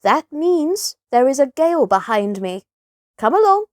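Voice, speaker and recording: A woman's voice: high-pitched, sing-song, measured pace, no room sound, clean studio sound, voice pushed up. A woman voicing a knowing male porpoise who leads his school, bossy and instructive, in a children's storybook. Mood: brisk, instructive. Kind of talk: children's storybook character reading.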